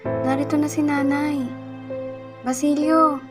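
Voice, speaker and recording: A woman's voice: sits high, level tone, takes it slowly. A woman playing a distraught, desperate mother in a radio play, pleading and calling out for her lost sons. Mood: pleading, worried. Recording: music behind the voice.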